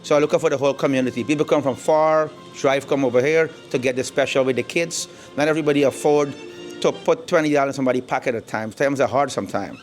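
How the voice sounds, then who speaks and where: A man's voice: medium pitch, fast, soft background music. A middle-aged man from Guyana with a Guyanese accent, chatting easily about his barbershop in an interview and leaning on "you know". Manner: easygoing, repeats words, fillers like "you know". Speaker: barbershop owner. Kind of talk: casual interview. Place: indoor barbershop.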